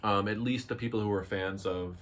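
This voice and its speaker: A man in a casual discussion, speaking in a halting, tentative way. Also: fairly low pitch, steady tone. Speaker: visual novel discussion co-host. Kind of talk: casual chat. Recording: background noise on voice track.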